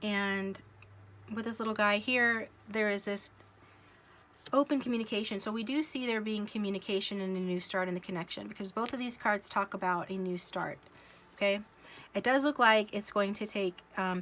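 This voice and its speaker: A woman with a cold giving a casual tarot reading, musing along slowly with many fillers and restarts. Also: mid-range voice, fairly even intonation, fairly bright tone. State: coming down with a cold, sniffling. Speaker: tarot reader.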